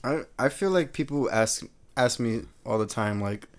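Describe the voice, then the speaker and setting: A man's voice: medium pitch, lively intonation, moderate pace. A young man co-hosting a podcast in laid-back, casual chat, laughing easily and swearing now and then. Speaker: podcast co-host.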